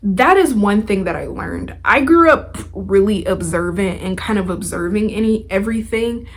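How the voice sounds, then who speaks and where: Young woman, podcast host, medium pitch, chatty, informal, slangy, casual motivational talk. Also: animated, normal speed. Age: young adult.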